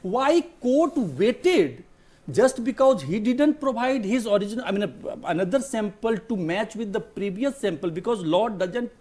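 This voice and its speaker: A man with an Indian accent and a distinctly high voice speaks as a legal expert in a studio discussion. He explains procedure in a halting way, often searching for his next word. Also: lively intonation, a little mellow. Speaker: legal expert guest on a TV panel.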